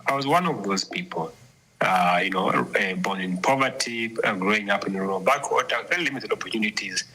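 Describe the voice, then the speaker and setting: A man speaking as a guest commentator over the phone in a live interview, earnest and reflective, halting with frequent restarts. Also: fairly low pitch, bright, forceful tone, breathy edge, low-quality recording. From Zambia.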